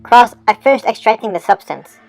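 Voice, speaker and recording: A woman's voice: a little high, animated, a little fast, vocal fry, a little mellow, soft background music. A woman playing a practical, matter-of-fact police forensic officer in an amateur audio drama.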